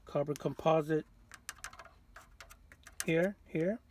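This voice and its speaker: Higher-voiced man giving a casual repair walkthrough. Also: slow pace, a little mellow, a little hiss or hum. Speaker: vintage radio restorer, presenter.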